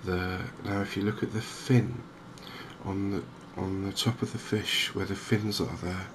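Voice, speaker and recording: Slow, low-pitched, soft-spoken man. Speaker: gaming video host. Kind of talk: softly spoken ASMR game commentary. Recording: clear steady background noise.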